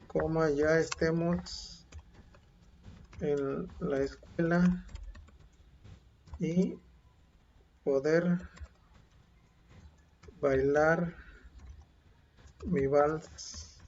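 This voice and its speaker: A male teacher, dictating and reading a model text aloud slowly, saying the punctuation. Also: a little high, steady tone, a little mellow. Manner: slow, deliberate dictation with spoken punctuation. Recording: dull lo-fi home recording.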